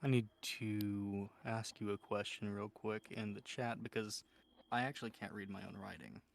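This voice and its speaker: A man narrating a horror tabletop game as game master, deliberate and suspenseful, with long pauses. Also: on the low side, steady tone, creaky.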